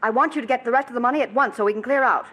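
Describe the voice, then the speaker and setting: A woman's voice: a little high, racing, fairly bright tone, slightly rough. An actress playing a restless, defiant scheming woman in a 1940s radio drama. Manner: petulant and defiant. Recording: old radio broadcast recording.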